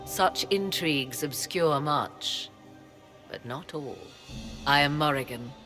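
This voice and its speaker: A woman's voice: sits low, takes it slowly. An actress playing a sly, theatrical woman mage at a royal court in a fantasy game, arch and teasing in her formality. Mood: arch, mysterious. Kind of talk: video game fantasy acting. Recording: long hall reverb, music behind.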